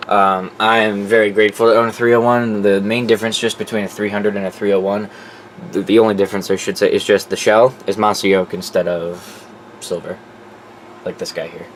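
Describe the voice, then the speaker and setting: A man's voice: fairly low pitch, level tone, fairly quick, a little hiss or hum, poor audio. A man presenting his collection in a casual, off-the-cuff, informal way, sometimes profane. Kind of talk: casual off-the-cuff show-and-tell.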